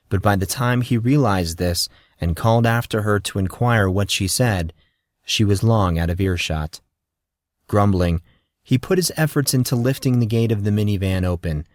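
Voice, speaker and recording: Low-voiced male audiobook narrator. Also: darkish tone, no echo, clean studio sound. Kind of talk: scripted story narration.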